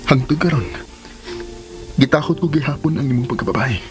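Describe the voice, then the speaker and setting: A male actor plays a devoted sweetheart in a radio serial. His voice sits at a medium pitch, tender and teasing, with music playing softly behind him. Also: brisk, lightly acted. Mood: tender, coaxing. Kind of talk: radio drama acting.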